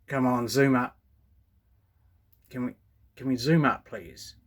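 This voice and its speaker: A man's voice: medium pitch, slow. Rambling New Zealand man, casual. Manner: rambling, unscripted, thinking aloud. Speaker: hobbyist radio reviewer. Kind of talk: casual hands-on show and tell. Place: indoors at a home workbench.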